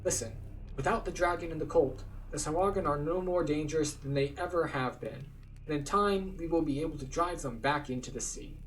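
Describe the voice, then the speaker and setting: A man's voice: fairly high pitch, brisk, fairly bright tone, recorded from a distance, slight background noise, lightly acted, low-quality recording. Man voicing an earnest fantasy quest-giver, slightly off-mic. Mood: grave, urgent. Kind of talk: read-aloud fantasy game dialogue.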